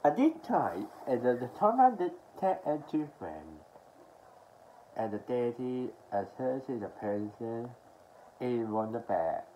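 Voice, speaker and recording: A young adult man from Australia talking haltingly and with mumbled words in a casual show-and-tell to camera at home. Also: medium pitch, very animated, warm tone. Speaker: collector showing his media haul. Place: small furnished room at home, indoors. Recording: dull lo-fi sound with background noise.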